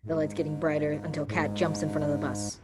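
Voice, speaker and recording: A woman's voice: low voice, expressive, fast talker, over loud music. A woman giving scripted narration, reading stage directions for a play reading.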